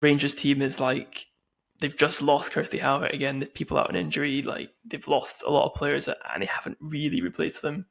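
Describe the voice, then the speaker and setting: A man chats about football as a podcast co-host. His voice sits a little higher than most men's and crackles with fry, in a loose, conversational style. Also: fairly quick, a bit bright. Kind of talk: casual football discussion.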